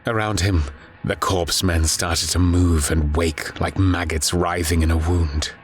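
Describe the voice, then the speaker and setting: Male narrator, deep register, measured grave storytelling, scripted horror fiction, faint background noise. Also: steady tone. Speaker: audiobook narrator.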